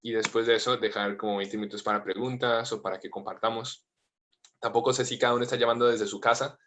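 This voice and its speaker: A priest in his thirties with a mixed Latin American and Spanish accent, praying and then talking gently and informally over a video call. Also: mid-range voice, fairly even intonation, quick pace. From United States, family from Colombia. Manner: gentle, informal, with frequent fillers.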